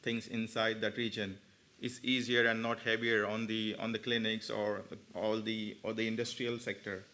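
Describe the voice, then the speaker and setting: A man answering off the cuff as an expert panel speaker, explaining haltingly and often circling back to rephrase. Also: on the low side, flat delivery, bright, forceful tone.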